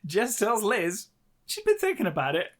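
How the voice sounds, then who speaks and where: A man with a slightly high voice co-hosts a book podcast. He talks through the story in a loose, conversational way, repeating phrases for emphasis. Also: huge pitch swings, normal speed, a bit bright. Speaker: podcast co-host. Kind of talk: casual book recap chat.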